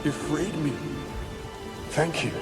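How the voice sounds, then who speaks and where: Slow, world-weary warrior ghost. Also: male voice, a little high, loud music behind the voice. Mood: awed, relieved. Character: weary, jaded male ghost of a doomed warrior. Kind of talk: video game cutscene acting.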